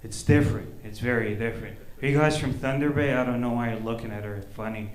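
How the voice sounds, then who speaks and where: A man's voice: medium pitch, steady tone, fast. A man doing stand-up comedy, talking casually with lots of swearing and bantering with the crowd. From Egypt. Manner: casual, profane, conversational. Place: indoor open mic comedy venue. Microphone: stage microphone. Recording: echoey comedy club stage.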